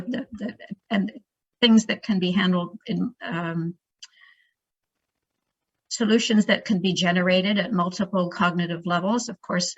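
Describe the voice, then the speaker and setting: Woman, researcher in academic Q&A. Slightly low voice, hesitant, self-correcting delivery; close, dull audio. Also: dry recording. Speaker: guest speaker, researcher answering questions. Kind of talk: academic question-and-answer, unscripted.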